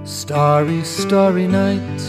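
A woman's voice: on the low side, fairly even intonation, drawn-out words. A woman singing a folk ballad, accompanied by plucked guitar. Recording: music recording with plucked guitar. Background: acoustic guitar accompaniment.

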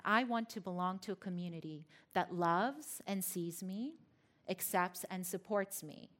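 A woman from Philadelphia speaks to a live audience. Her pitch sits in an ordinary middle range, and she tells her story with playful asides to the people listening. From Philadelphia, United States. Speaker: speaker giving a talk. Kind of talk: personal talk to a live audience.

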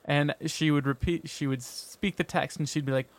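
A man's voice: fairly high pitch, steady tone, normal speed. A young man, an opera singer co-hosting, chatting casually and laughing as he acts out stories. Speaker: podcast co-host, opera singer. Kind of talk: casual chat.

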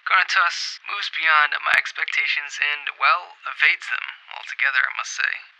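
A man reading a scripted album review as host, in a measured, articulate manner with formal wording. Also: on the high side, vocal fry, sharp tone, poor audio.

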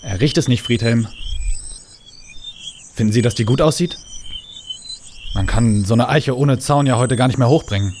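An actor playing an odd, lonely old forester in a radio play, speaking in terse, halting, rambling phrases. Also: male voice, medium pitch, a little mellow, a little hiss or hum, natural voice. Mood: wistful, rambling.

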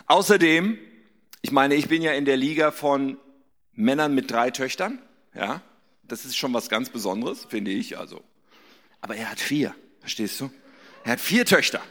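A man's voice: medium pitch, animated, normal speed, long pauses, fairly bright tone. A man hosting a church service, giving an effusive, emphatic and casual stage introduction. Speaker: church host introducing preacher. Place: large church hall. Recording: echoey hall with long reverb.

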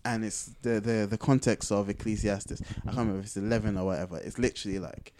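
A man with a fairly low voice talks casually as a podcast co-host. He explains himself reflectively, stopping and rephrasing as he works through his point. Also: fairly even intonation, quick pace, darkish tone. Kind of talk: casual conversational podcast chat.

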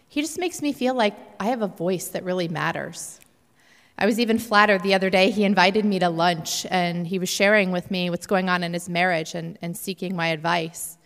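A woman's voice: lowish voice, vocal fry, a bit bright. A woman playing a conflicted, restless married professional of thirty in a dramatic monologue. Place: large reverberant hall. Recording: echoey hall with long reverb.

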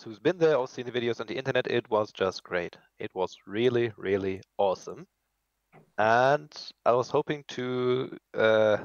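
A man in his mid twenties from Germany talks casually as a podcast guest. His voice is medium in pitch, heard through dry, slightly dull lo-fi audio. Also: vocal fry, no room sound. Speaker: podcast guest, hobby train builder. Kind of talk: casual chat.

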